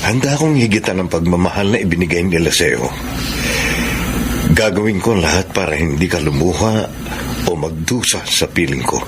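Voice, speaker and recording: Romantic filipino boyfriend in radio drama, sincere, pitch swings a lot, noisy background. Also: male voice, lively intonation, moderate pace. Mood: tender, solemn. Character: Sandro, devoted earnest boyfriend, romantic and sincere.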